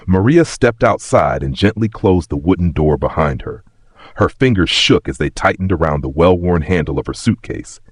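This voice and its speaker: A man narrates a sentimental tale in a very low, husky voice. His pitch moves in lively swings as he tells it with folksy drama. The sound is dry and close. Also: no echo. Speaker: storyteller, narrator. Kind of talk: scripted sentimental story narration.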